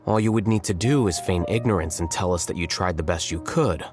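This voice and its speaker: An actor playing a calm, coolly logical male scholar in a video game, measured, detached and precise. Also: low voice, music in the background, lightly acted. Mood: calm, matter-of-fact.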